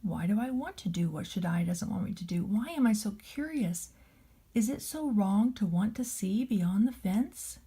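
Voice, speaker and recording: A naive young storybook boy, acted by a woman reader, wondering aloud to himself. The voice is mellow and soft-edged, inquisitive and innocent. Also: mid-range voice, animated, moderate pace, breathy edge. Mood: curious.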